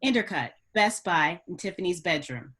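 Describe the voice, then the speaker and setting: A woman's voice: lowish voice, lively intonation, moderate pace, fairly bright tone, breathy edge. Woman narrating screenplay stage directions. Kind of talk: scripted narration at screenplay table read.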